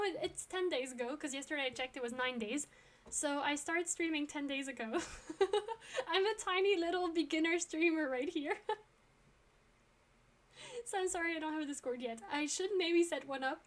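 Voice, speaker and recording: A young woman from France talks to her stream chat in a relaxed, rambling way. Her voice sits high, and she fills pauses with ums and quick laughs. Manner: chatty, frequent ums and laughs. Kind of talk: casual chat with viewers.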